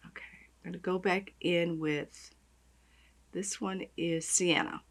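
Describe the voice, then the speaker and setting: Woman, raspy, lowish voice, chatty beauty vlogger, gushing. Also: fairly even intonation, a little slow. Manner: gushing, chatty, talks while applying makeup. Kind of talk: makeup tutorial chat.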